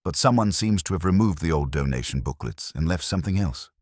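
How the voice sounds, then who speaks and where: Casting: man as bouncy, deferential police sergeant in an audio mystery, deep voice with lively swings, earnest. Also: brisk, no echo, studio quality. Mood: eager, puzzled. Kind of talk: audio detective drama.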